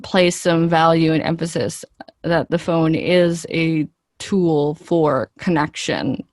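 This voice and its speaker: Female interviewee, actress. Lower-leaning voice, very little room sound, earnest and chatty, works out answers as she talks. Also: steady tone, slow pace, a little mellow, no room sound, studio quality.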